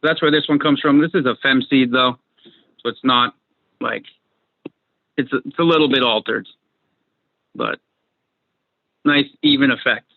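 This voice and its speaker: A man's voice: mid-range voice, steady tone, measured pace, gravelly, pauses often, dry recording. A young man from Massachusetts with a Massachusetts accent, chatting casually as a guest over a video call, rambling and repeating his words. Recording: dry tinny video call audio.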